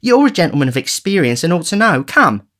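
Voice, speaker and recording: A man's voice: a little high, big pitch swings, fairly quick, sharp tone, voice pushed up. A man voicing a fussy, genteel middle-aged woman workhouse matron in an audiobook, simpering and fluttery. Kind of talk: audiobook character voice.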